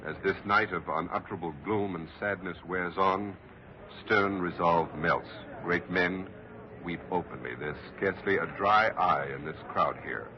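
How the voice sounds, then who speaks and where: An urgent, solemn reporter in an old radio drama. His voice is lowish, formal and dramatic, heard through a muffled broadcast with clear background noise. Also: unhurried. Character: grave, urgent male radio news reporter. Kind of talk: 1940s radio acting, live news reporting.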